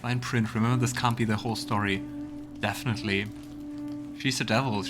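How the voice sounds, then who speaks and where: A man's voice: fairly low pitch, fairly even intonation, a little fast, over music. A man hosting a let's play, musing aloud in casual game commentary.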